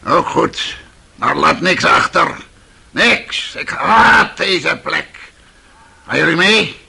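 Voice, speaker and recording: An actor playing a coarse, bossy old father in a radio drama, hard-drinking and mocking, with a gravelly, raspy voice. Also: a little high, animated, slow, bright, forceful tone, heavily acted. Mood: gruff, impatient. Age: older. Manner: blustering, mocking.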